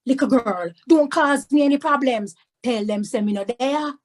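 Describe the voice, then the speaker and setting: A woman's voice: high-pitched, moderate pace, voice pushed up. A woman voicing a sheepish adult uncle, evasive and pleading, in a children's storybook. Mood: urgent, evasive. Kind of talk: storybook read-aloud.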